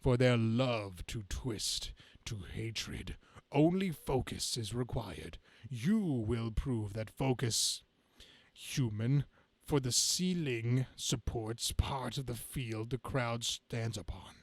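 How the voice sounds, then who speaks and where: Man reading as slow, gravelly comic-book supervillain. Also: mid-range voice, no echo. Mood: menacing, gloating. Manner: grandiose, halting monologue with stumbles. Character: gloating male comic-book supervillain scheming against humanity.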